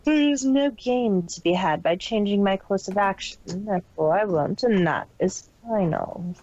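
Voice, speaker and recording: A woman's voice: mid-range voice, unhurried, a little mellow, dull audio, lightly acted. A woman voicing a callous, cruel female pony business boss in a detached manner for a fan fiction reading. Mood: cold, dismissive. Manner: detached, unemotional.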